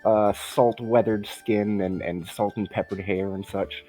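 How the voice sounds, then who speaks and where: A man narrating a tabletop game as dungeon master, relaxed with laughing asides. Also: on the low side, measured pace, soft background music, poor audio.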